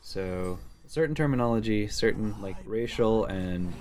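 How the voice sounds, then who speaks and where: A man giving casual strategy commentary on a card game, thinking out loud in musing asides. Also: on the low side, expressive, a little slow. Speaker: game streamer. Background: game music.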